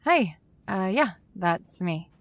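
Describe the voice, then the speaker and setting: A woman's voice: mid-range voice, big pitch swings, slow, slight background noise. A woman playing a polite, hesitant young woman in an audio drama, speaking tentatively. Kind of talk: full-cast audio drama acting.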